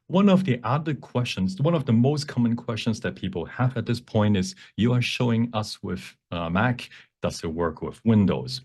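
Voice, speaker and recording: A man teaching a live software demonstration, explaining step by step in a halting, self-repeating way and switching between two languages. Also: low voice, slightly rough. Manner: bilingual, switches between English and Cantonese. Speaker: workshop presenter, instructor.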